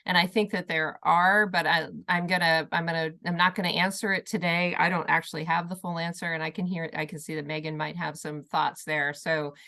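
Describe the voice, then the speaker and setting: A woman with a low, smooth voice hosting an online panel over a video call. She sounds upbeat and encouraging, and she often repeats words as she moves things along. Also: little pitch movement, a little fast, hardly any pauses, sharp tone. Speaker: panel host.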